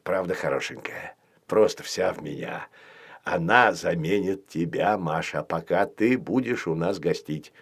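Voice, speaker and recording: A man voicing a friendly, practical young male dragon in a children's story, with a soft, drawn-out delivery. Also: deep voice, creaky, slightly rough, voice pushed down, full character voice. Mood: fond, confiding.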